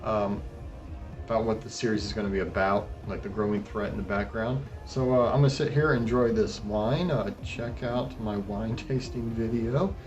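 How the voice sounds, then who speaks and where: A man's voice: lowish voice, moderate pace. Sardonic man reviewing anime over music. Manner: sardonic, wry commentary. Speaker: host of an anime retrospective review. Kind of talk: narrated video review with crude joking asides.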